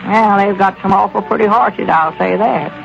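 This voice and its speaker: An actor playing a country storekeeper with a folksy drawl in a 1930s radio comedy. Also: male voice, high voice, normal speed, gravelly, soft background music. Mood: easygoing. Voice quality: folksy rural drawl with plain country grammar. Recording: lo-fi old radio broadcast.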